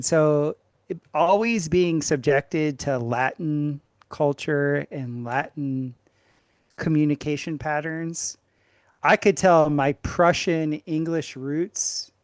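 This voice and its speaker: A man speaking casually as a podcast guest, animated and emphatic, often restarting his sentences. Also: fairly high pitch, dry recording, clean studio sound. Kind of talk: casual chat.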